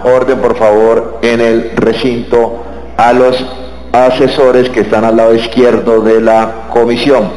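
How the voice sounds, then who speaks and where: A man with a Colombian accent chairing a parliamentary committee, giving brisk, formal procedural announcements. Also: medium pitch, a little mellow, a little hiss or hum. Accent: Colombian Spanish. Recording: roomy chamber.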